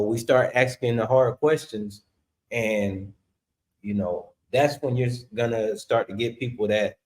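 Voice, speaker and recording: A man explaining his group's aims hesitantly, with many fillers. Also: medium pitch. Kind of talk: explaining viewpoint.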